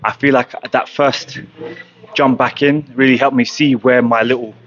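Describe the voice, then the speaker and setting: A young man from Croatia, a professional boxer, speaking in a matter-of-fact way with many pauses and ums in an interview at a gym. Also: mid-range voice, steady tone, lo-fi sound, a little hiss or hum. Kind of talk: casual interview. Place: boxing gym, indoors. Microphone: handheld mic held close.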